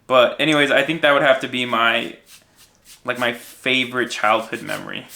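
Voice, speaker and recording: A man's voice: mid-range voice, moderate pace, vocal fry, pushed and bright. A young American man telling personal stories in a casual, rambling, self-deprecating way on a podcast. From United States, raised in Minnesota. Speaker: podcast guest and co-host sharing his story. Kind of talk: casual conversational storytelling.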